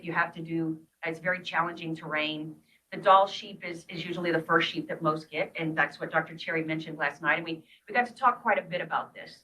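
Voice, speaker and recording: Bright-voiced woman lecturing from a distance. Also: fairly low pitch. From East Texas. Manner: conversational, storytelling, self-deprecating asides. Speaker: guest lecturer, vascular surgeon. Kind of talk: grand rounds lecture. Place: lecture hall.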